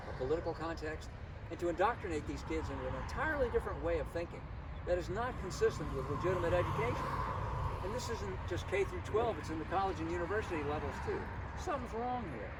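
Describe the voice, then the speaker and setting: An older man speaking out as a concerned citizen, emphatic and deliberate, in an informal impassioned style. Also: on the high side. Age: older, 60s or above. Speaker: concerned local citizen at a protest. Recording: outdoor, distant mic, loud traffic noise. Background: vehicles and outdoor ambience.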